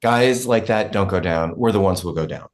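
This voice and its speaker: A man's voice: deep voice, moderate pace, natural voice. A man reading the part of a cynical but loyal political aide in a stage comedy table read. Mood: grim.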